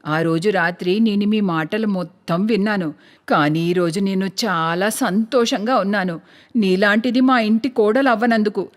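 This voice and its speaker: A woman's voice: mid-range voice, normal speed, voice pushed down, close to an everyday voice. A woman voicing a stern, bossy older mother-in-law in a cartoon story. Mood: scolding. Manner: scolding, commanding.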